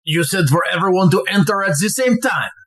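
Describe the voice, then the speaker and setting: A man's voice: high voice, lively intonation, a little fast, a bit bright. A man playing a dutiful, literal-minded attendant in a farcical audio play. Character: dutiful male attendant who literally corrects his superior.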